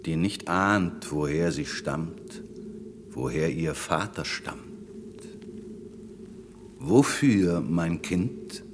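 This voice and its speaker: An actor playing a grave, commanding magician and father in a radio play, with a solemn, measured, theatrical manner. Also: sits low, heavily acted. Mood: soothing, grave. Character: grave, commanding magician father soothing his young daughter. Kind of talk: classic German radio play acting. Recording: long reverberant hall, noisy background.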